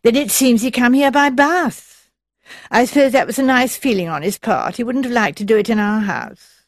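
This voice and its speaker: A woman's voice: on the high side, moderate pace, no room sound, clean studio sound, voice pushed up, natural voice. A woman voicing a mean, flashy wife with a thin, reedy voice, plaintive and colloquial, in an audiobook. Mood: aggrieved. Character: mean, flashy wife putting on mournful sentimentality. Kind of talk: audiobook character voice.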